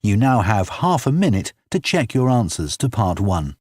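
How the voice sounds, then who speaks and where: A man reading scripted exam instructions as an announcer, in a formal, measured manner. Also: on the low side, big pitch swings, a little mellow, slightly rough, dry recording, clean studio sound.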